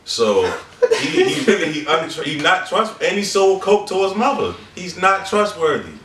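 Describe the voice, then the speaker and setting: A man's voice: high-pitched, sing-song, moderate pace, fairly bright tone. A man in a casual podcast chat, speaking in a blunt, emphatic way. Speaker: podcast co-host. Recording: roomy, distant mic, faint noise.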